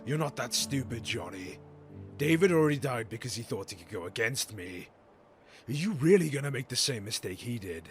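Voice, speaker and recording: A man's voice: mid-range voice, lively intonation, normal speed, soft background music, lightly acted. An actor playing a menacing, controlling male crime boss villain in an audio drama, with a cold, commanding manner. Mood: menacing, angry.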